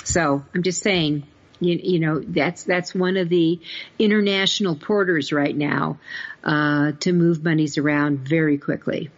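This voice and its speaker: A woman's voice: low voice, fairly even intonation, unhurried. A woman hosting a podcast conversationally, emphatic and repeating her points, on a dull recording. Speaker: podcast host. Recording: dull, muffled lo-fi audio.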